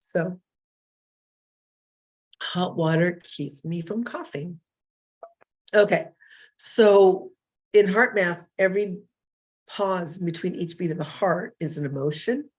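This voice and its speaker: A hoarse woman in her fifties hosting a casual solo talk show, rambling and self-deprecating with many fillers and restarts. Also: on the low side, slow, long pauses, a little mellow, muffled recording. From California. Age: 50s. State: hoarse from illness.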